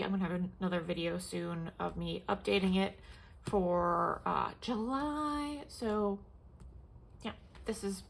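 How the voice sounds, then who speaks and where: A young woman talks through her planners in a loose, rambling way, full of fillers and false starts. Her nose is stuffed up and she sniffles now and then. Her voice has a gravelly edge of vocal fry over a faint background hiss. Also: medium pitch. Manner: rambling, many fillers and restarts. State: congested, sniffling. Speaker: planner vlogger. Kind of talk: casual show-and-tell chat.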